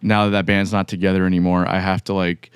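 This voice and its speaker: A man with a low, even voice thinks aloud in halting, reflective chat. His voice comes through very clear and crisp. The sound is dry, with no room echo. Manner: halting, reflective, many restarts. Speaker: podcast host, musician. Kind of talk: casual podcast chat.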